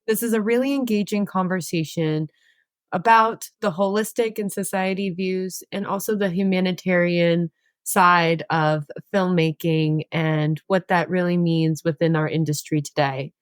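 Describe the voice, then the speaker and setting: A woman's voice: fairly low pitch, fairly even intonation, measured pace, clear, resonant tone, no room sound, clean studio sound. A woman hosting a film festival podcast, reading a scripted intro and then chatting casually with a guest. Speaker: podcast host.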